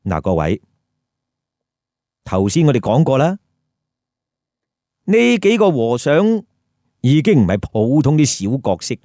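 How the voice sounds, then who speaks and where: A man's voice: fairly high pitch, dark tone, dry recording, studio quality. A man telling a story with comic commentary, colloquial and putting rhetorical questions to listeners. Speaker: storyteller, host.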